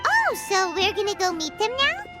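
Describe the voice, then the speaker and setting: A woman voicing a small, bubbly, childlike fairy companion in a video game, with a squeaky high voice. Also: wildly swooping pitch, moderate pace, music in the background, exaggerated voice. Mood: curious, puzzled. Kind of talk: animated video game character acting.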